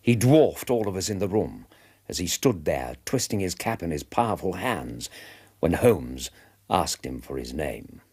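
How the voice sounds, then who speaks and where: An actor playing a gentlemanly British army veteran who narrates detective cases in a measured storytelling manner. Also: male voice, low voice, big pitch swings, breathy edge, heavily acted. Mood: vivid recounting. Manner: measured storyteller, vividly descriptive. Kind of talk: scripted narration in radio drama.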